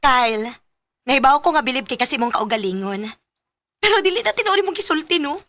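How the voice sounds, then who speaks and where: A woman's voice: sits high, lively intonation, a little fast, pushed and bright, exaggerated voice. A woman playing a feisty, proud young student in a radio drama, with a Cebuano Filipino accent. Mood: mocking, proud.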